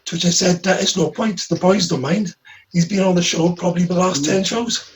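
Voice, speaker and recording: A man's voice: high-pitched, a little fast, a little hiss or hum. A man co-hosting a podcast, rambling through stories and reading listener questions. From Wales. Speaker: podcast co-host. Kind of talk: casual podcast chat.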